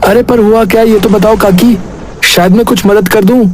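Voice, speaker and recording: Man playing anxious, high-voiced young villager Rakesh. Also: steady tone, moderate pace, darkish tone, a little hiss or hum, natural voice. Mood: concerned, pleading. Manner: worried, questioning, exclamatory. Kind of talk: cartoon story dialogue acting. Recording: prominent background music. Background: music.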